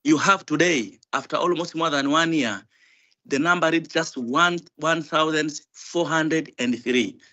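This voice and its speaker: A man's voice: a little high, unhurried, bright, forceful tone, highs cut off. A man working as a government diaspora director, speaking earnestly with hesitations and repeated points during an online session. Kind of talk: remarks on a video call.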